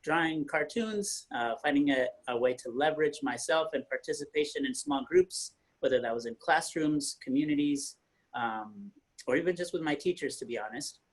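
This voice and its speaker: A young man from Northern Colorado, a painter and muralist, introducing himself on a panel in a relaxed way, often pausing to find his words. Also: on the high side, low-quality recording. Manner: relaxed, searching for words with many fillers. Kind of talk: casual self-introduction on a panel.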